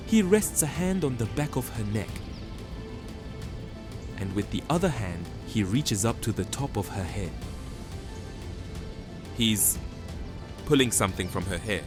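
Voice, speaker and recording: A man playing a troubled hidden observer who narrates a fantasy drama in hushed, halting phrases. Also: mid-range voice. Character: watchful hidden observer, troubled chosen one, resolute. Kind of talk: first-person dramatic narration. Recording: music behind the voice.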